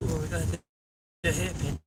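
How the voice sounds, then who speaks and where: High-pitched man, chatty rambling commentary, in a moving car, loud road noise. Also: little pitch movement, normal speed, distant mic. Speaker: vlogger. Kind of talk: casual chat while driving. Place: inside a car on country roads.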